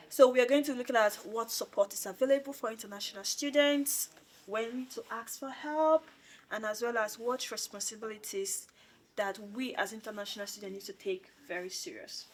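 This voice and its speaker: A woman's voice: mid-range voice, fairly bright tone. Young female student host, chatty informal interviewer, mid-range voice, repeats points for emphasis. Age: young adult. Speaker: student union president hosting an interview.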